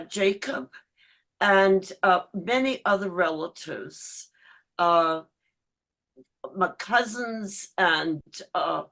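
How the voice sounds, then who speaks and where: A woman in her nineties, from Germany, giving a short halting dedication at a remembrance ceremony, with many pauses. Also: fairly low pitch, gravelly, bright, forceful tone. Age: 90s. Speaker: candle lighter at a remembrance ceremony.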